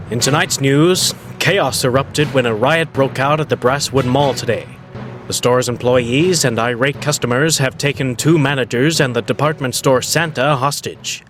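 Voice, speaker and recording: A man playing a mock-serious evening news anchor in a comic storytelling show, formal and deadpan in delivery. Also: mid-range voice, natural voice. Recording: echoey hall, noisy background.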